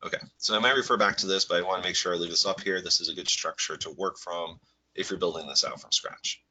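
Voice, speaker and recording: A man with a head cold and a slightly nasal voice, presenting a live software webinar in a relaxed, rambling way full of fillers. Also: deep voice, fast talker, low-quality recording. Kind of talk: live webinar walkthrough with screen share.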